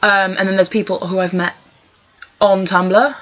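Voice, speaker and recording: A woman's voice: medium pitch, flat delivery, moderate pace, a bit bright. A young woman with a posh English Home Counties accent from Surrey, chatting casually in a wry, rambling, sweary way at her webcam. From Surrey, England. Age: young adult. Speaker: vlogger. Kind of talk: casual chat answering accent challenge questions. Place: small bedroom, indoors. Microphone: laptop webcam at desk distance. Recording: dull lo-fi webcam sound.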